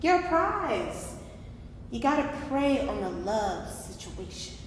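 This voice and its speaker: A woman's voice: on the high side, big pitch swings, slow. A woman playing a doting, outspoken mother in a staged play reading, coaxing and folksy, with an emphatic delivery. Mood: brash, insistent. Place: live staged reading in a reverberant room. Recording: very echoey hall, some background noise.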